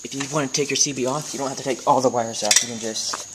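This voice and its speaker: An American man giving a casual, hands-on video tutorial in an informal, halting way, thinking aloud as he goes. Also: medium pitch, fairly even intonation. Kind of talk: casual hands-on how-to demonstration. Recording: noisy amateur camera recording with clicks.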